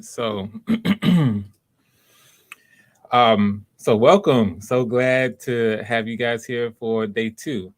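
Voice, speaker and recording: Low-voiced man hosting a livestream. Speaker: livestream host running voice auditions. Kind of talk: casual livestream talk to chat.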